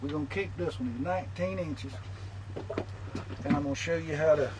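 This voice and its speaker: A man with a drawl explains step by step how he rigs a lure, plain-spoken and practical. His voice sits a little high. He is recorded outdoors on a boat through a camera mic, with clear outdoor noise behind him. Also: fairly quick. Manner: plain-spoken, instructive, talks while handling tackle. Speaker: fishing lure demonstrator. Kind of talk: casual how-to demonstration. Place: outdoors on a boat on open water. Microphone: camera mic, filmed close up. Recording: noisy outdoor camera audio.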